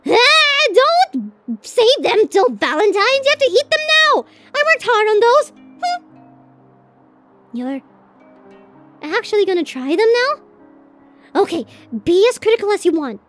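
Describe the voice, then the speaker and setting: A woman's voice: extremely high pitch, big pitch swings, slow, long pauses, fairly bright tone, soft background music, full character voice. A woman voicing a bashful, tender tsundere college girlfriend in a romantic ASMR roleplay, flustered and hesitant as she swings between protest and affection. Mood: flustered, indignant.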